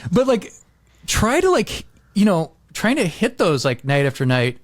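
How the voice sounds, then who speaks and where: American guy on a podcast, kinda high voice, rambles and repeats stuff, really dry sound. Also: very animated, a little slow, dry recording. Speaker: podcast host. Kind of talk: casual podcast chat.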